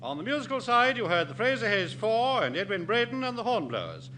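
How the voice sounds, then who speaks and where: A man's voice: high-pitched, sing-song, a little fast, pushed and bright. A man reading closing credits as a 1960s radio announcer. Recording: slightly noisy 1960s radio recording.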